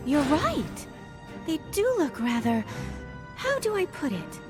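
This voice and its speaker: A woman's voice: high voice, huge pitch swings, slow, exaggerated voice. A teasing spirit lady. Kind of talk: video game voice acting. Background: game music.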